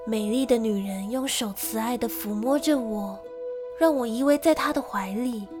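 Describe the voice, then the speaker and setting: An actress playing a lonely young gentlewoman who tells of a frightening childhood in an emotive radio drama style. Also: a little high, moderate pace, over music, lightly acted. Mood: wistful. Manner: storytelling, emotive.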